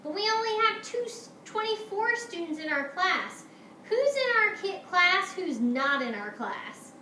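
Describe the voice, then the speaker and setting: A woman's voice: high voice, expressive, moderate pace, a bit bright, distant mic. A woman reading aloud as an organized, upbeat and easily flustered woman schoolteacher in a storybook. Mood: alarmed. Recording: roomy, echoey, noisy home-style recording.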